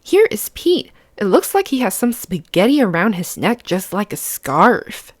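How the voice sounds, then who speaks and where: A woman's voice: mid-range voice, very animated, unhurried, no room sound, clean studio sound. A woman reading a picture book aloud to children, explaining simply and putting questions to the listener. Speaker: children's read-aloud host.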